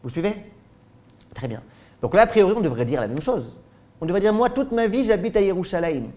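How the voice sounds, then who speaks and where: Man, rabbi giving a lesson, fairly high voice, asks rhetorical questions, acts out examples. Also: expressive, fairly quick, darkish tone. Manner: frequent checks with listeners ("d'accord?"). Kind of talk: spoken Torah lesson.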